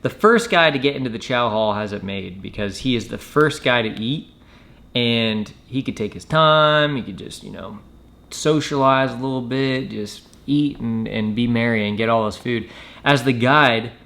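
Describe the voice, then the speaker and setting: A man telling a personal story in a casual, matter-of-fact way with hesitant pauses. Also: mid-range voice, gravelly, fairly bright tone. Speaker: storyteller, former Marine recruit.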